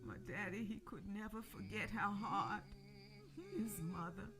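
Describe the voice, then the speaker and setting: A woman's voice: mid-range voice, fairly even intonation, measured pace, creaky, music in the background. A woman narrating a radio theater play in a mournful, theatrical way, drawing out her phrases. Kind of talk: dramatic scripted narration.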